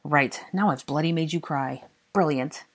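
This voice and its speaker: A woman voicing a lovesick, exasperated young man in dramatic audiobook dialogue, impassioned and sardonic, with halting phrasing. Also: low voice, husky, full character voice. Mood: rueful.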